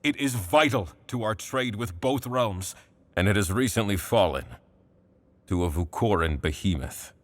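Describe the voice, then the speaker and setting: A man playing a solemn king in an audio drama, speaking formally and gravely. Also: on the low side, expressive, measured pace, no echo. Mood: grave, commanding. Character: solemn king commanding his knights.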